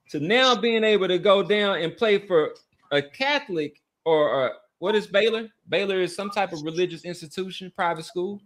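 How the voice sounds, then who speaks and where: A middle-aged American man hosting a casual sports discussion, speaking haltingly and repeating words for emphasis. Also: high voice, fairly bright tone. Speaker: sports podcast host.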